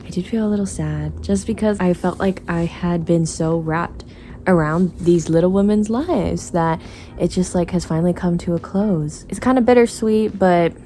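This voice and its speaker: A woman's voice: on the low side, moderate pace, mellow, a little hiss or hum. A young woman chatting casually about books as a vlogger, with an informal, slangy way of talking. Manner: informal, youthful slang and asides. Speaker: book vlogger. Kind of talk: casual chatty book review to camera. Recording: voice over clearly audible background music.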